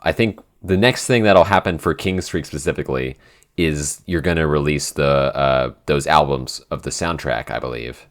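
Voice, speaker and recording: A man with an extremely deep voice talks casually as a podcast co-host. He works things out as he speaks, rambling and qualifying himself, with an enthusiastic, unscripted delivery. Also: moderate pace, fairly bright tone. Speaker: podcast co-host and show creator.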